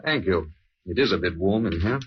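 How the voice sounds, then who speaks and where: An actor playing a defensive ship's steward under suspicion in an old-time radio crime drama. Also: male voice, low voice, lively intonation, a little fast, background noise. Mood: uneasy, self-justifying. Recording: muffled old radio recording.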